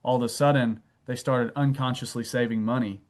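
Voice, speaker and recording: A man, a wealth advisor, guesting on a podcast. He explains his work steadily with stories, often pausing on "um". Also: mid-range voice. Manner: steady, anecdotal, filler "um". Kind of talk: explanatory interview answers.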